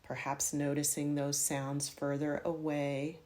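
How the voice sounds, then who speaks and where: A woman guiding a yoga nidra meditation in a measured, instructional way, offering gentle directions. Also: low-pitched, monotone. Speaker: meditation guide.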